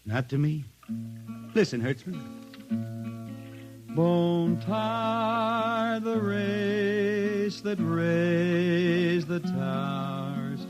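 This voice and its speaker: Dreamy country-bred male space drifter, highish voice, Southern hill drawl, old radio drama with music. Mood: wistful, then solemn reciting. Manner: folksy, halting, dreamy. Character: wistful blind spaceman poet, Ozark country-bred drifter.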